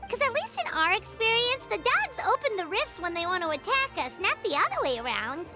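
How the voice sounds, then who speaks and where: A woman voicing an excitable little female travel companion in a video game, bubbly and quick to tease. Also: very high voice. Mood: chatty. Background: game music.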